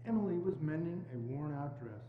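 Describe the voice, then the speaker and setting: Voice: mid-range voice, animated, brisk. A narrator reading scripted stage narration of a classic story. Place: indoors, church. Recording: muffled, thin echoey hall, faint hum.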